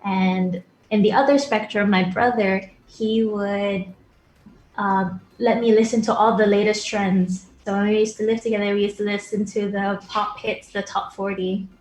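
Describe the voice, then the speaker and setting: A woman in her twenties from Brunei, a singer answering interview questions over a video call, polite and soft-spoken. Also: mid-range voice, steady tone, normal speed, reverberant, a little hiss or hum, low-quality recording. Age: 20s. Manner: polite, soft-spoken, addresses host as sir. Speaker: guest singer. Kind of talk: remote interview, casual.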